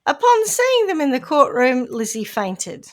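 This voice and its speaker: A woman's voice: high voice, very animated, unhurried. A woman with a New Zealand accent hosting a casual podcast, telling a true-crime story with playful asides. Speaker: podcast host.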